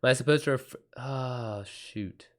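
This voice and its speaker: Man, medium pitch, livestream host, casual chat, slangy, informal, sweary. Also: unhurried. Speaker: livestream host, author taking writing questions. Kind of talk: casual livestream chat, reading and answering messages.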